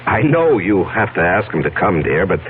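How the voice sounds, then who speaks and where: An actor playing an uneasy, evasive business executive and conspirator in a radio drama, speaking hesitantly while covering up. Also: male voice, deep voice, very animated, normal speed. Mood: guarded, uneasy. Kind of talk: 1970s radio drama acting. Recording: muffled narrow-band radio, faint hum.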